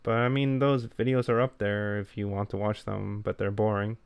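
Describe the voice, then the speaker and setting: A young man with a lowish, smooth, clean voice chats casually on a podcast. He keeps things plain and low-key and is quick to play himself down. The sound is dry and close, with no room in it. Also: level tone, no room sound. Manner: low-key, plain and unhurried, self-deprecating. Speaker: podcast co-host. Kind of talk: casual chat.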